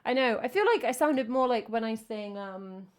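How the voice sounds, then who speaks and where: A woman's voice: on the high side, animated, moderate pace, clean tone. A woman from England with an English accent, a singer-songwriter chatting casually and self-mockingly between songs on her live stream. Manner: chatty and self-mocking, with soft trailing asides.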